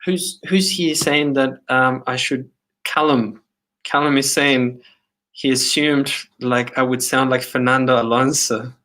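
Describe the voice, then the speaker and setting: A man in his thirties with a Chilean Spanish accent softened toward Australian. He chats loosely on a livestream, full of fillers and repeated starts, at a middling pitch. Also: fairly even intonation, unhurried, poor audio. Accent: Chilean Spanish, partly Australian. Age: 30s. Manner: rambling, many fillers and restarts. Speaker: livestream host. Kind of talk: casual livestream chat.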